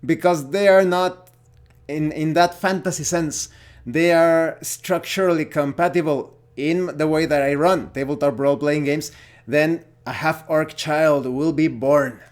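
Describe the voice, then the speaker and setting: A man with a Mexican accent gives a casual opinion monologue as a gaming vlogger, speaking haltingly and searching for words. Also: on the high side, steady tone.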